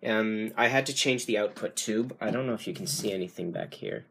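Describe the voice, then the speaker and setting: A young man giving a casual technical demonstration, halting and correcting himself, recorded indoors on a handheld camera. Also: lowish voice, creaky, fairly bright tone, slight background noise. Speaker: hobbyist radio restorer. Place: small furnished room indoors. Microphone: handheld camera, behind it.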